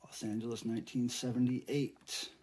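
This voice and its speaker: Middle-aged man, American accent, mid-range pitch, casual vlog chat, folksy and informal, clear background noise. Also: little pitch movement, normal speed. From United States. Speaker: vlogger, record collector and fan site manager. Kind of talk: casual unboxing vlog talk. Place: small indoor room at home.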